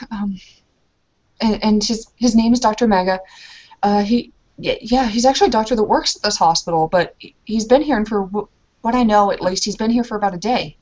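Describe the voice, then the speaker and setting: A woman's voice: mid-range voice, fairly quick, darkish tone, muffled recording. A woman narrating her character's actions in a tabletop roleplaying game, informal and hesitant as she improvises.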